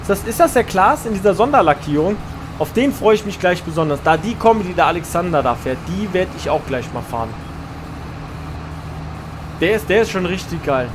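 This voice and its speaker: High-voiced man chatting on stream. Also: lively intonation, fairly quick, background noise. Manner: chatty, answers chat messages while playing. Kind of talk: live gameplay stream, reading chat.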